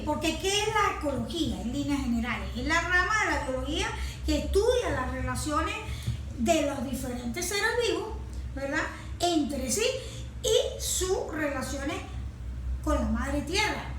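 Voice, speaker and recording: A Venezuelan woman explaining proposals in an interview, emphatic and repetitive, often halting to correct herself. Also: sits high. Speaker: guest, environmental advocate. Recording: very echoey room, clear background noise.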